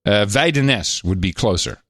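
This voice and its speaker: A man's voice: lowish voice, moderate pace, dry recording, studio quality. A man co-hosting a talk podcast, chatting casually, reading donor notes and joking around. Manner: animated, laughing, playful mock accents. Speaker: podcast co-host.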